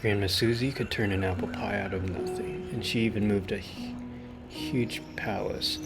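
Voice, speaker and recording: A man's voice: fairly low pitch, steady tone, normal speed. A man reading a video game's story aloud as a streamer, with casual asides. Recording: loud game music behind the voice. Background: loud game music.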